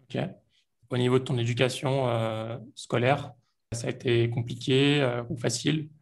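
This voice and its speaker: A man hosting a podcast, reading a scripted intro and asking relaxed questions. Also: mid-range voice, normal speed, vocal fry. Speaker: podcast host.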